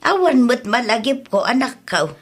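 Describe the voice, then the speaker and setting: A woman's voice: fairly high pitch, very animated, moderate pace. A woman playing a patient, concerned elderly village mother with an Ilocano accent in a comedy drama.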